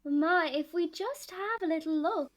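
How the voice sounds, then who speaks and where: A woman's voice: very high voice, normal speed. A woman acting a polite, eager little girl in a children's audio drama.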